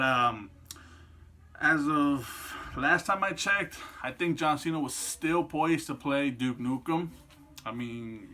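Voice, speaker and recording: Bright-voiced young man, rambling and profane. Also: mid-range voice. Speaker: video host. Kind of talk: casual opinion vlog.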